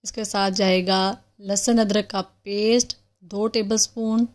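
A woman hosts a home cooking channel and narrates the recipe over the footage. She talks calmly and explains each step in order, like an everyday host. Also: medium pitch, measured pace. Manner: calm step-by-step instructions.

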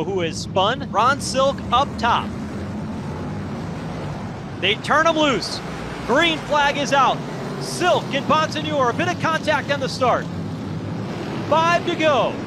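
A man calling live play-by-play for a stock car race, with excited, rapid race calling. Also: very high-pitched. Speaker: race commentator. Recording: loud race-car noise underneath. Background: roaring race engines.

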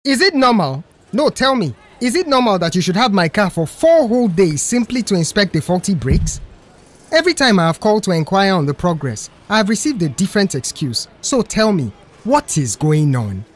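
A man performs an indignant middle-aged male customer in an audio story. The voice rides high and swings up and down as he harangues and demands. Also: very animated, normal speed. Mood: angry, demanding.

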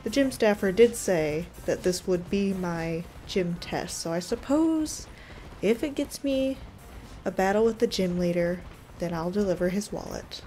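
A woman's voice: mid-range voice, lively intonation, a little slow, mellow, faint music behind. A woman giving casual, wry commentary as she plays a game, thinking aloud. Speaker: gaming video creator.

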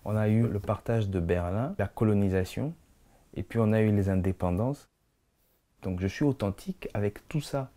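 A man's voice: lowish voice, normal speed. A man in his thirties, born and raised in Congo, talking reflectively about his art in a documentary interview in his studio. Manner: reflective, expressive hand gestures. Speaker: visual artist.

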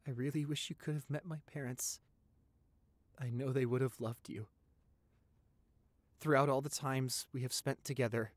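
A man playing a loving, sentimental vampire boyfriend in a romantic audio roleplay, speaking tenderly and haltingly with open emotion. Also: medium pitch, no room sound, natural voice. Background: background music.

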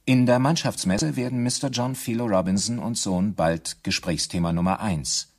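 A man narrating a German radio drama in a measured, matter-of-fact, scripted style. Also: on the low side. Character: sober, knowing male documentary-style narrator.

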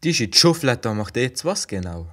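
A man's voice: mid-range voice, very animated, brisk. A male performer as a sceptical young village lad. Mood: puzzled. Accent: Valais Swiss German. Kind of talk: amateur school radio play.

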